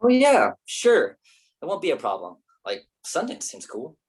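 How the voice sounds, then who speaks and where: Man acting a whiny, dramatic actor, swooping pitch. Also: huge pitch swings, measured pace, slightly rough, full character voice. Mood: agitated. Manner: whiny, theatrical, pretentious. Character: dramatic, flirty, self-pitying actor in his mid-thirties. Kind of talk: staged play reading.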